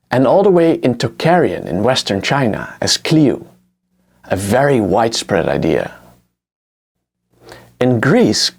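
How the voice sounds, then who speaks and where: A man's voice: medium pitch, creaky, husky. A man with a Dutch accent, a native Dutch speaker, narrating a scripted history lesson in an explanatory way. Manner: explanatory, lively intonation. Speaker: history lecturer.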